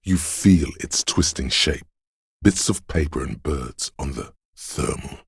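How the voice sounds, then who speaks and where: A man voicing a brooding, omniscient inner voice of a city in a video game, narrating gravely and poetically in a measured, husky, gravelly voice. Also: extremely low pitch, no room sound, studio quality, exaggerated voice. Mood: brooding, solemn. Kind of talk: slow literary second-person game narration.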